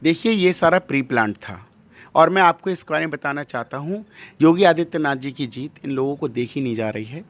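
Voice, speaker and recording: High-pitched, emphatic male religious leader. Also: expressive, brisk. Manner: emphatic, rhetorical questions and repetition. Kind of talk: impassioned interview monologue.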